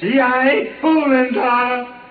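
A man's voice: extremely high pitch, fairly even intonation, measured pace. An actor playing a menacing, taunting smuggler boss in a 1950s radio drama, drawing out his threats. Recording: muffled, echoing old radio recording.

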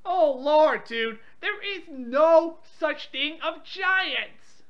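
A woman's voice: high-pitched, animated, very slow. A woman with an irritable, confrontational manner, bantering on a phone call in dull lo-fi audio. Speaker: prank call participant. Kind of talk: phone banter, reading out weather updates.

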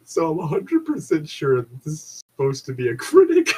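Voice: medium pitch, huge pitch swings, moderate pace, a little mellow, breathy edge. A person reading fan fiction aloud and joking about it in a sweary, mock-exasperated way. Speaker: reader and commentator.

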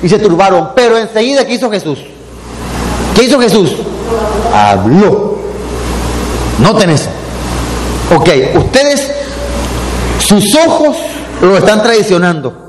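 A middle-aged man preaching a sermon as pastor, animated, with many rhetorical questions to the congregation. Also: sits high, measured pace, pauses often. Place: church hall. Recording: reverberant hall with background noise.

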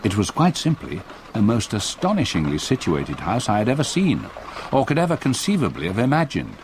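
An older English solicitor narrating a radio play. The voice is fairly low and moves expressively as he tells his tale in vivid literary phrases. Clear outdoor sound effects run behind him. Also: wildly swooping pitch, slight background noise. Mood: awed, grave. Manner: measured, literary, vivid storytelling. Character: older retired English solicitor recalling a haunting past. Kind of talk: scripted radio drama narration.

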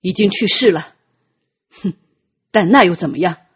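A woman's voice: fairly low pitch, animated, moderate pace, husky, dull audio. A woman voicing a lovelorn, protective young prince in an audiobook, speaking earnestly with pained, bitter gravity. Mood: bitter, resigned.

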